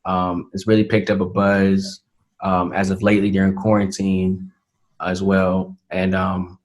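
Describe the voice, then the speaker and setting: A man's voice: low-pitched, monotone. Earnest eighteen-year-old man. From Atlanta, Georgia, USA. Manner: earnest, measured, frequent fillers. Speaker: interview guest, young entrepreneur. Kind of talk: casual interview talk.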